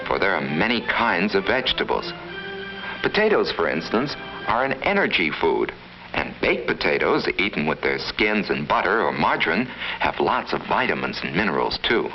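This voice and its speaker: A man with a fairly low voice reads scripted narration for a vintage 1950s classroom film. He instructs like a storyteller talking to children, with animated rises and falls. Music plays clearly behind him on the dull old soundtrack. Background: background music.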